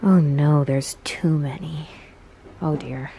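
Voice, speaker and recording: A young adult woman chatting casually in a vlog, gushing and exclaiming in an excitable way. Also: low voice, takes it slowly, creaky, poor audio. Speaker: vlogger. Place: indoors, bookstores and an empty room at home. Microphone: handheld camera at arm's length. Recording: noticeable background noise.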